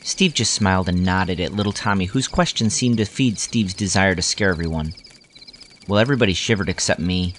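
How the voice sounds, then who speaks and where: A man's voice: deep voice, a little fast, a bit bright, a little hiss or hum. A man reading scripted first-person story narration in a reminiscing style.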